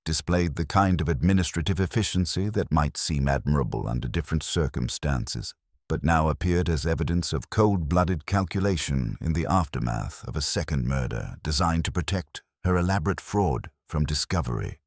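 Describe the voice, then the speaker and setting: A man with an extremely low, deep voice narrates a mystery story. His delivery is formal and measured, yet his pitch moves in a lively way. The sound is dry and close, with no room around it. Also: dry recording. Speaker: audiobook narrator.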